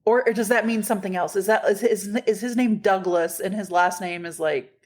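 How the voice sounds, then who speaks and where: A woman co-hosting a podcast, telling road trip stories in casual chat, animated, laughing often and swearing freely. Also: mid-range voice, fairly quick. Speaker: podcast co-host.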